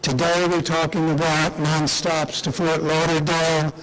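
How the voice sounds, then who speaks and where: A man speaking as an official at a public announcement, deliberate and emphatic, repeating phrases with rhetorical pauses. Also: on the high side, flat delivery, a bit bright, poor audio. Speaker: airport official giving an announcement speech. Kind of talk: public speech at a press announcement.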